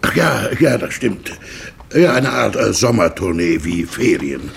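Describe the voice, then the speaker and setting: An actor playing a brooding, mild middle-aged man in a German radio play, halting and murmuring, with a husky, creaky voice. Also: fairly low pitch, sing-song, a little hiss or hum, heavily acted. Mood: awkwardly polite. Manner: halting, murmuring, drifting into inward monologue.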